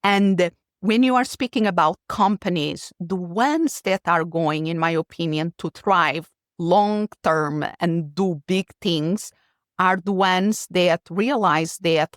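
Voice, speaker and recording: A woman's voice: lowish voice, no room sound, studio quality. A middle-aged woman from Portugal with a Portuguese accent, explaining her ideas thoughtfully as an interview guest. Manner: thoughtful, explanatory, occasional restarts. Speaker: keynote speaker, guest. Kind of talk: interview answer.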